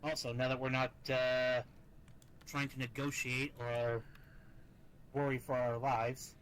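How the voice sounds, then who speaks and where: Man with bright voice, roleplay chat. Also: medium pitch, level tone, slow. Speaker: roleplay player. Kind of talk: casual in-character roleplay chat. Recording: noisy online voice chat.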